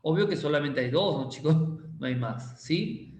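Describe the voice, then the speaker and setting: A male chemistry teacher, giving a casual, playful class and teasing students as he slowly repeats quiz questions. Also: fairly high pitch, lively intonation, a little mellow. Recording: roomy echo.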